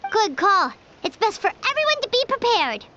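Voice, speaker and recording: Squeaky, excitable childlike little companion. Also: female voice, normal speed, muffled recording, slight background noise, heavily acted. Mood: thoughtful. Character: small excitable childlike companion, chirpy and blunt. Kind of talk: video game voice acting. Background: prominent game background music.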